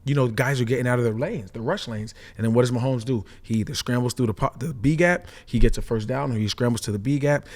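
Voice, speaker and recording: A man's voice: medium pitch, darkish tone. A man, a former pro safety, breaking down defensive play in casual sports talk, explaining step by step and tagging points with "right?". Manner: fast, explanatory, frequent "right?" tags.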